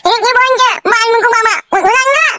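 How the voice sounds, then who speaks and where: A woman voicing a spoiled, foul-mouthed little boy in a comedy cartoon, whining and demanding, with a pitched-up cartoon effect. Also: fairly quick, sharp tone, no room sound. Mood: bratty.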